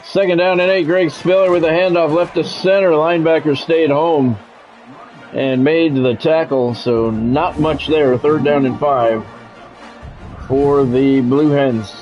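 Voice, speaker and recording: An American man doing live play-by-play of a video game football match in a measured announcer style, mixed with casual chat to stream viewers. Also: a little high, fairly even intonation, darkish tone. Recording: dull lo-fi sound with music behind.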